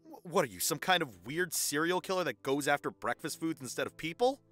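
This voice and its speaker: A woman's voice: sits low, animated, a little fast, fairly bright tone, faint music behind. A woman playing a bragging, snarky gamer friend in an audio drama, teasing with dry playfulness.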